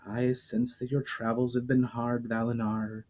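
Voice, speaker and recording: A man voicing a calm, knowing female water spirit who muses thoughtfully in a storybook reading. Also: medium pitch, unhurried, lightly acted. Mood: musing. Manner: musing, thoughtful.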